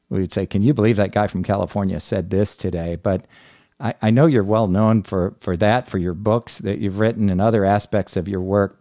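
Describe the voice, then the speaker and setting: Deep mellow guy hosting a podcast, slow friendly questions, kinda halting. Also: no room sound, clean studio sound. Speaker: podcast host. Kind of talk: podcast interview.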